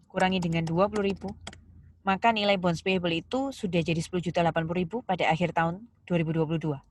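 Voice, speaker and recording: A woman's voice: on the low side, dry recording. A woman giving a step-by-step accounting lesson, didactic, posing questions and stressing key terms. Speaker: accounting lecturer. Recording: clear background noise, keyboard clicks.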